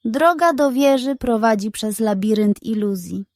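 A solemn, watchful guardian spirit from a children's storybook, voiced by a woman reader. He speaks slowly and with command, in a raised pitch and a dry close sound. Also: a little mellow, no room sound. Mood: advising. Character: tall glowing male dream guard, solemn and watchful.

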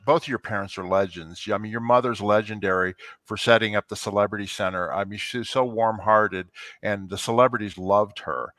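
Man, older, co-host, headset on video call, forceful bright tone, chatty with fillers. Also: lowish voice, no room sound. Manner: chatty, affirming, many fillers. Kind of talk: casual interview chat. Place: home kitchen, indoors.

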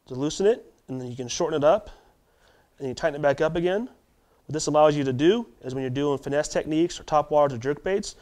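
A man in his thirties hosting a tackle shop video, running through product specs in a steady delivery with small restarts, in a warehouse storeroom. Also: on the high side. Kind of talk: product rundown to camera. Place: warehouse storeroom with shelves of boxes.

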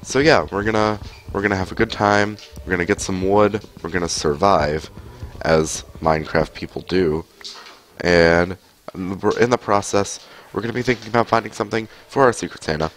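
A young man with a very deep voice talks over his game on a headset mic, stuffy from a cold. He rambles along casually, full of likes and ums, with a faint hiss of noise behind him at home. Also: steady tone, moderate pace. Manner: rambling, chatty, many fillers. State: has a cold. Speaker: streamer. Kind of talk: casual gameplay commentary. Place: home room, indoors.

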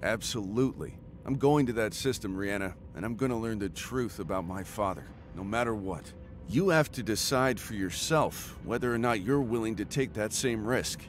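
An actor voicing a determined, driven mercenary commander in a video game, speaking earnestly and decisively. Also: male voice, on the low side, normal speed, a little hiss or hum, lightly acted. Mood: resolute.

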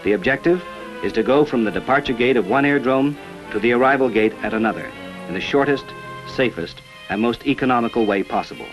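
A man reading scripted narration for an old informational film in a measured, formal manner. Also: mid-range voice, poor audio. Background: background music.

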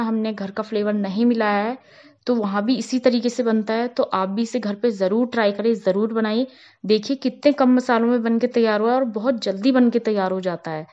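Calm woman guiding a home cooking tutorial. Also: fairly high pitch, highs cut off. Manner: calm, step-by-step instructional. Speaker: cooking channel host. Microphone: voice-over, not on camera.

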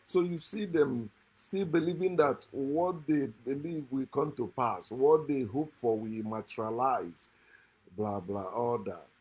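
Husky middle-aged guy preaching into his phone indoors, keeps repeating lines for emphasis. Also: medium pitch, measured pace, darkish tone, poor audio. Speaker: host. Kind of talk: lecture to camera. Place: indoor room, seated.

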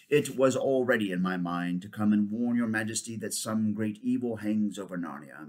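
An ancient centaur stargazer speaks gravely and slowly, in a lowered, husky voice, as a male narrator puts him on. Each warning comes out measured and solemn. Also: natural voice.

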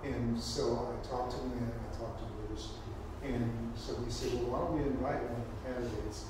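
An older man introducing things at an informal community meeting, speaking in a halting, unhurried way. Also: medium pitch, fairly even intonation. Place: small storefront meeting room, indoors. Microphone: distant camera mic. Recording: echoey room, far camera, noisy.

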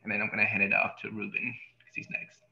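American man, thirties, casual student panelist, monotone, creaky, roomy video-call sound. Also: lowish voice, fast talker. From California. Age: 30s. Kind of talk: casual self-introduction. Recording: roomy, slightly dull video-call audio.

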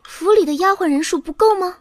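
High-pitched, spirited young princess consort, acted by a woman. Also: fairly quick, dry recording, soft background music. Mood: disbelieving, indignant. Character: spirited, sharp-witted young princess consort, breezy and defiant. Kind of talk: audio drama acting in Mandarin.

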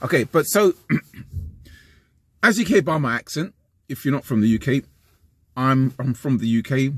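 A West London man in his fifties rambles to camera from the cab of a van, restarting sentences as he goes. His voice sits at a mid pitch and comes across close and dry, with no room echo. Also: a little slow, husky. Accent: West London English. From London, England. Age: 50s. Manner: rambling, repeats and restarts, animated gestures. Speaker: vlogger. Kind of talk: casual talking-to-camera vlog. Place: inside a parked van, small enclosed space. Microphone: camera close in front of face.

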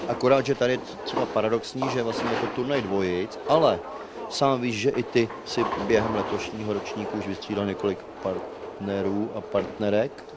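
A man organizing a bowling tournament, talking casually into a handheld mic with relaxed fillers. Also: lowish voice. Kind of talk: casual on-camera bowling chat. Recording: noisy crowded room. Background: loud crowd chatter.

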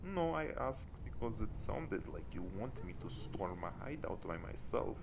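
A man's voice: fairly low pitch, sing-song, a little slow, vocal fry, background noise, heavily acted. A man playing a cocky, flirtatious werewolf hitman in an audio roleplay, speaking in clipped, article-dropping phrases. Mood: incredulous. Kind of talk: audio roleplay addressed to the listener.

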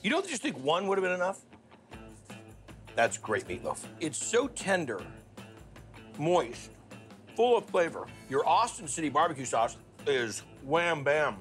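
Lively, bright-voiced male food show host. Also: mid-range voice. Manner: energetic, slangy, joking. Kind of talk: upbeat food TV hosting and voice-over. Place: restaurant kitchen.

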